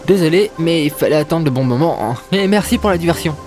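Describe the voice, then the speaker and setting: A man's voice: fairly high pitch, lively intonation, fast, darkish tone, lightly acted. A man playing a polite, sheepish young hero in an audio comedy adventure, casual and hesitant. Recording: voice under prominent music.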